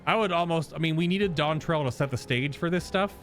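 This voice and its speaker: A man's voice: a little high, brisk, faint music behind. A man giving casual, informal reaction commentary as a game streamer, joking and swearing freely.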